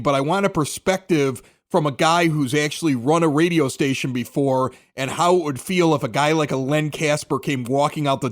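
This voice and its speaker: An American man hosting a podcast, talking sports in a casual, chatty, conversational way. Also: fairly high pitch. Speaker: podcast host, former morning radio host.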